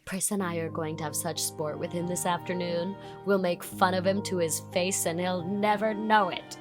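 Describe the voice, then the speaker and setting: Actress as a breezy young college belle. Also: female voice, lowish voice, fairly even intonation, moderate pace, over music, lightly acted. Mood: teasing. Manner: breezy, theatrical, self-absorbed.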